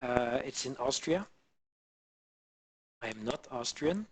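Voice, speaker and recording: A man from Belgium chatting casually with his live-stream viewers on a headset mic while modelling, halting and full of fillers. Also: medium pitch, animated, husky, no room sound. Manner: halting, many fillers, answers chat viewers. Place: home in the Alpine region.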